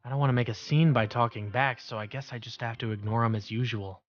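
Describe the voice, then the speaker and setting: A man's voice: medium pitch, steady tone, quick pace, muffled recording, close to an everyday voice. A man voicing an introverted, resigned teenage schoolboy in an anime-style dub, mild and hesitant. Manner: mild, hesitant, stammers when flustered. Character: introverted teenage schoolboy narrator, secretly capable, meek and resigned. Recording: background music under voice.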